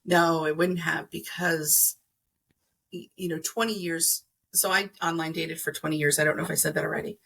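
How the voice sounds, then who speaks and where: A woman's voice: sits low, fairly even intonation, moderate pace, vocal fry, fairly bright tone. A middle-aged woman explaining things conversationally as an interview guest, earnest and emphatic, acting out imagined remarks. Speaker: podcast guest, energy healer.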